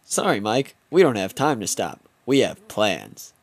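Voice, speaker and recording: A man's voice: lowish voice, sing-song, unhurried, no room sound. A young man narrating a home-made comedy film in the first person, with playful, mock-dramatic storybook delivery.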